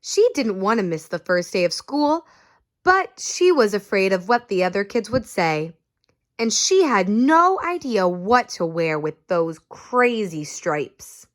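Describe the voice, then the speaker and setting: Slow, expressive woman reading to kids. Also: mid-range voice. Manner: expressive, asks listeners questions. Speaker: story reader. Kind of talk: reading a picture book aloud to children.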